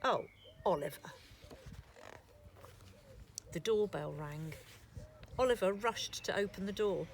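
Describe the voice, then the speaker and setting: A woman storyteller narrating a scripted children's story in an expressive, deliberate way. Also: mid-range voice, a little hiss or hum.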